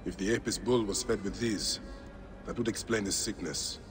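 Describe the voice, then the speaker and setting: An actor playing a grave, determined Egyptian man who investigates and muses aloud in a measured way, in a video game. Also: on the low side, natural voice. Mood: pondering. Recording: music behind the voice. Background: music.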